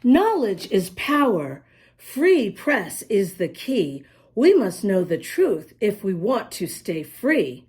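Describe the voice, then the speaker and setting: A woman's voice: mid-range voice, sing-song, slow pace, a little mellow, husky. A woman reading a storybook aloud to young children, explaining as she goes in an emphatic, sing-song manner. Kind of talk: expressive read-aloud to young children with asides.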